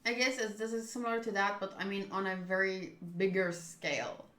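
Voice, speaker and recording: A young woman streaming a visual novel, reacting casually and thinking aloud in quick, trailing asides. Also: medium pitch, a bit bright, echoey room.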